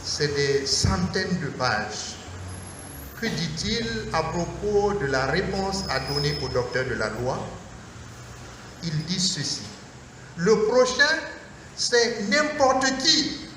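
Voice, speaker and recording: Slow, high-voiced priest in echoey hall. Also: male voice, animated. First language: Mooré. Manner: slow, deliberate, emphatic phrasing in short measured phrases. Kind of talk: Lenten lecture sermon. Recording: echoey hall from far off, faint noise.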